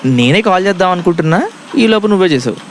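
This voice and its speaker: A man's voice: high-pitched, animated, fast, noisy background. A man playing an anxious, lovestruck young college student in a comedy audio drama, bantering in exasperated slang. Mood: eager, coy. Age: young adult.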